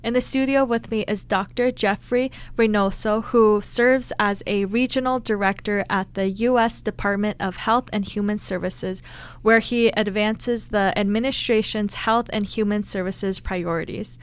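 A woman's voice: medium pitch, steady tone, normal speed, smooth. A woman speaking as a radio show host, interviewing a guest in a studio.

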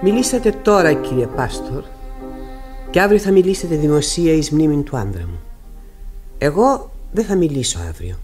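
A middle-aged widow in a stage-like radio drama. Her voice moves widely in pitch. She is proud and anguished, and she speaks with formal, dramatic intensity. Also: very animated, darkish tone, soft background music. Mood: resolute. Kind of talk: Greek radio theatre acting.

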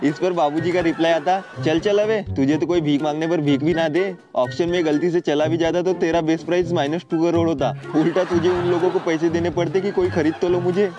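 A man's voice: on the high side, steady tone, a little fast, hardly any pauses, lo-fi sound. Jokey man with music behind. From India. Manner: jokey, laughs often, reads captions aloud. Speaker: meme reaction host. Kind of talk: comic meme commentary.

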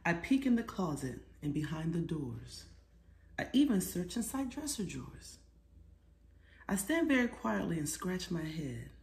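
A middle-aged woman reading a children's storybook aloud expressively, pausing to put questions to her young listeners. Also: on the low side, very animated, darkish tone. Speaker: children's author reading her own book. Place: small living room, seated on a sofa. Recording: roomy indoor echo.

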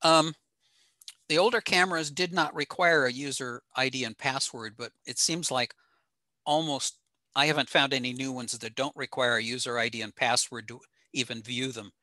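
Older male presenter, informal technical webinar, matter-of-fact delivery, bright tone, dry close sound. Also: mid-range voice, steady tone, moderate pace, dry recording. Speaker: presenter at an amateur radio talk. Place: small room at home, on a video call.

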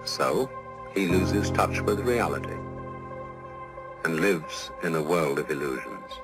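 A man giving a measured, reflective philosophical lecture, heard over music. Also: extremely low pitch, expressive. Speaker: lecturer heard in an inserted recording. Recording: heavy new-age music underneath. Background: new-age music.

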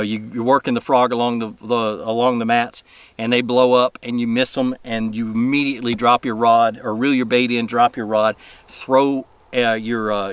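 A man in his forties walks through fishing tackle in a relaxed, rambling way. He talks outdoors on a boat into a close camera mic. He stumbles and repeats words as he goes. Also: medium pitch, clean tone, no room sound. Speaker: fishing channel host. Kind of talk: casual tackle tutorial.

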